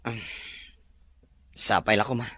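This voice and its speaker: A husky, earnest bridegroom. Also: male voice, lowish voice, steady tone, slow pace, pushed and bright, dry recording, heavily acted. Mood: tender, reassuring. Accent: Ilocano. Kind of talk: Filipino radio drama acting.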